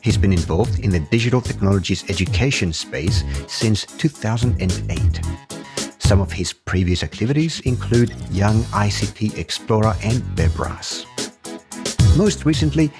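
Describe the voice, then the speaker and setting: Podcast host guy, lowish voice, upbeat intro read then loose chat, music behind. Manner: reads intro in a lively, emphatic way, then chats with fillers.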